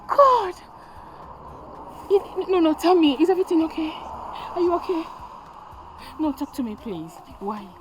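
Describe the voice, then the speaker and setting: A woman's voice: fairly high pitch, noisy background, lightly acted. An actress playing a mother who lectures her child at length, with emphatic repeats. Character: stern older mother lecturing, then pleading with her child. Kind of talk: Twi-language family drama acting.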